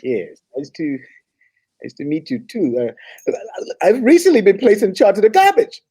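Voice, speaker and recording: A man's voice: high voice, huge pitch swings, normal speed, warm tone, breathy edge, full character voice. An actor playing a smitten, earnest young janitor in a comedy table read, hesitant and fumbling over his words. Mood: nervous, eager. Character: smitten, nervous, earnest young man mopping floors.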